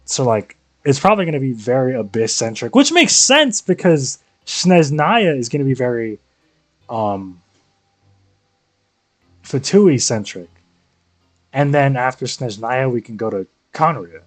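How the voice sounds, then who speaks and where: A man's voice: medium pitch, sing-song, measured pace, no room sound. A young man chats casually as a game streamer. He rambles in slang, close to the mic with very little room sound. Faint music plays behind him. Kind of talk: casual livestream commentary.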